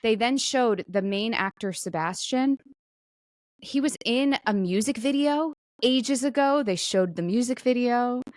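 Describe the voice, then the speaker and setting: A woman's voice: fairly high pitch, a little slow, a little mellow, no echo. Woman bantering in a close, dry recording. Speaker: podcast co-host. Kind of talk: casual comedic chat.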